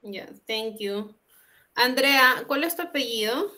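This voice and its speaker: A young woman teaching online, patient and unhurried, her voice bright and a little high. She moves between Spanish and English as she talks through each exercise, on a laptop mic from a small room at home. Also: expressive. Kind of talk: online class explaining exercises. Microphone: laptop webcam on a video call.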